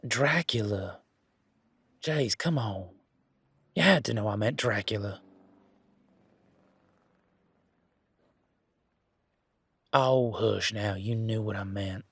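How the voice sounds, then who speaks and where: A man playing a laid-back, wry werewolf in an intimate one-sided audio roleplay, talking in a casual, folksy, teasing way with a husky voice. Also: lowish voice, a little slow, a bit bright, no room sound, exaggerated voice.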